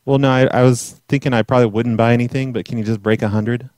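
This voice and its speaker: A man making a prank phone call as an earnest caller, talking in a hesitant, rambling, deadpan way. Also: mid-range voice, fast, no room sound. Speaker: prank caller and podcast host.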